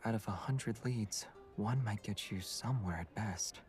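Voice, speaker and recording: A man playing a gentle, teasing young hunter in a video game, speaking softly and with understatement. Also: lowish voice, normal speed, natural voice. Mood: calm. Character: Xavier, soft-spoken young male hunter, gentle and teasing. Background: background music.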